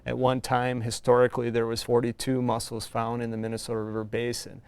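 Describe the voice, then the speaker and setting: A man, a university researcher, giving a steady, factual explanation in an interview. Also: medium pitch, a little fast, creaky, clean tone.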